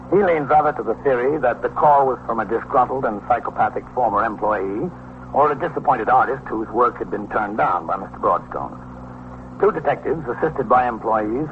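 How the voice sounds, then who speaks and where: An actor playing a police captain, narrating a procedural radio drama in a factual, scripted way. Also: male voice, medium pitch, natural voice. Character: matter-of-fact male police captain narrating a case. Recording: thin, phone-like, noisy old radio recording.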